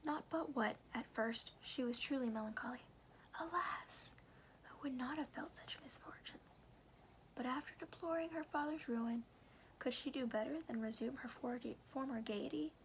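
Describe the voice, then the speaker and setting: A woman softly and carefully whispering in a hushed, breathy ASMR style, as a storyteller reading to the listener. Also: sits high, slight background noise, rough recording. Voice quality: breathy whisper with some creak. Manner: soft, careful, hushed delivery.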